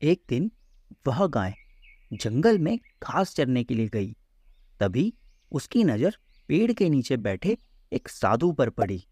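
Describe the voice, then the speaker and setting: A man's voice: mid-range voice, sing-song, slow pace, mellow, husky, no room sound. A man narrating a scripted children's story as a storyteller in a close voice-over.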